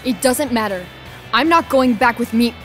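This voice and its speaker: Brash teen skater girl played by an actress, high voice. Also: moderate pace, a bit bright, faint music behind, natural voice. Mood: cocky, defiant. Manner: defiant, sneering. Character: brash, angry, reckless girl skateboarder, cocky divided half. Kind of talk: scripted family audio drama.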